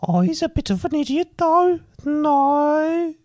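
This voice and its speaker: A man's voice: very high voice, animated, takes it slowly, darkish tone, dry recording, studio quality, pitched above the performer's own voice, exaggerated voice. A man voicing an outspoken, fond wife with a put-on Northern Irish accent in a comic amateur pantomime. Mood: scornful.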